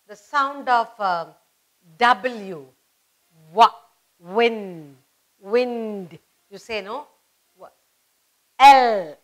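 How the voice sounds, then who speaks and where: A woman's voice: on the high side, a bit bright. A middle-aged woman with an Indian accent teaching young children, speaking emphatically in simple, repeated phrases and questions. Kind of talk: instructional lesson for young children. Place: indoor studio at a whiteboard.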